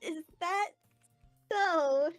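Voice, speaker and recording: A woman's voice: very high voice, very slow, no room sound, faint music behind, exaggerated voice. A woman voicing a cheerful, scatterbrained teenage girl with a bubbly, eager way of talking. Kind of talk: fan voice acting of a visual novel.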